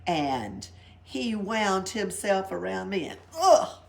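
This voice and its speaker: A woman's voice: mid-range voice, very animated, takes it slowly, vocal fry, heavily acted. A woman reading a storybook, voicing a boisterous, cheeky young girl who tells tall tales in dramatic exclamations. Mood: boastful, excited. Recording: background noise, library read-aloud.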